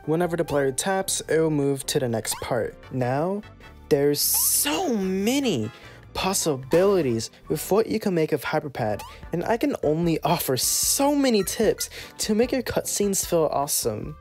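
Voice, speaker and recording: A casual, playful host narrating a scripted software tutorial. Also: medium pitch, big pitch swings, unhurried, soft background music.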